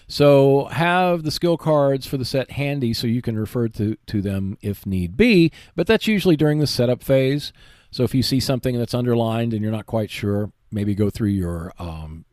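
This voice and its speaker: Expressive man explaining in a dry studio sound. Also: medium pitch, no room sound. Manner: explanatory, emphatic, reassuring. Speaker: host of a tabletop gaming show. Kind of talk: instructional tips talk on board wargaming.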